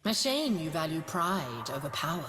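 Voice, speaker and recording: A woman's voice: low voice, very animated, normal speed. A woman playing a haughty, menacing sorceress in a video game, disdainful and imperious. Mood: cold menace. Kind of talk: video game character voice lines. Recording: long reverb over loud music.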